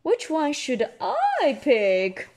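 Lady doing a happy little tiger boy from a storybook, slow and bouncy, polite, kinda high. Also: huge pitch swings, darkish tone, exaggerated voice. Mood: friendly, considering.

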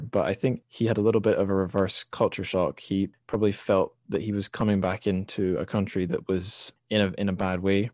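A man with a low-pitched voice hosts a literary podcast. The sound is dry, with little room around it. He talks casually and a little haltingly, working out his thoughts as he goes. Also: darkish tone, no echo. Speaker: podcast host.

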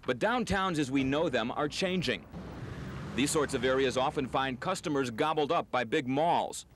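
A man's voice: mid-range voice, moderate pace. Male news reporter over street noise. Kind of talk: scripted feature news narration. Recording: noisy 1980s TV field tape.

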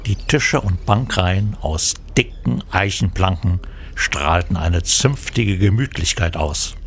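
A man's voice: sits low, animated, slow, a little hiss or hum, heavily acted. A man playing the storytelling narrator of a historical crime radio play, reading scripted narration. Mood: measured narration.

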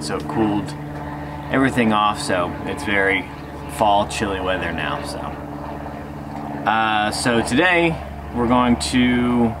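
A young man talking casually and a bit haltingly to camera, explaining a DIY job as a vlogger. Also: fairly low pitch, background noise. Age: young adult. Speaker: DIY bus conversion vlogger.

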